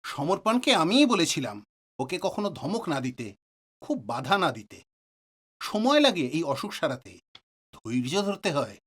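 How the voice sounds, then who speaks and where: Male doctor, middle-aged, knowing and reassuring played by an actor; lively intonation, audio drama. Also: fairly high pitch, normal speed, long pauses, breathy edge, heavily acted. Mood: grave, explanatory. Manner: explanatory, authoritative, mixes in English words.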